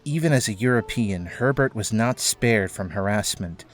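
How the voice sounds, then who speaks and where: A man's voice: on the low side, expressive, moderate pace. A man narrating a scripted audio drama as its storyteller. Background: background music.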